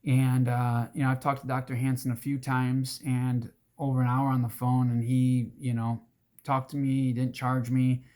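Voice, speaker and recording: Male, hoarse and raspy, earnest, rambling advocacy organizer, mid-range pitch. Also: level tone, moderate pace, darkish tone. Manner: earnest, rambling with restarts and fillers. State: hoarse, throat-clearing. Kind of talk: casual direct-to-camera talk.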